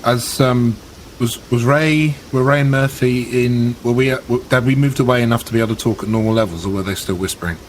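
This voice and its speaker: A man chatting casually as a tabletop game player, halting as he searches for words. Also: medium pitch, a little hiss or hum, low-quality recording. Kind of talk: casual chat.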